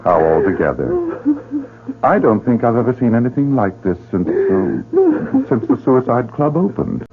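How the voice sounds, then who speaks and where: A man's voice: mid-range voice, wildly swooping pitch, a little slow, slight background noise. An actor playing a suave, sinister male club director who speaks in a silky, mocking way, in a radio drama. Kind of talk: 1970s radio drama acting. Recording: tinny old radio broadcast with music.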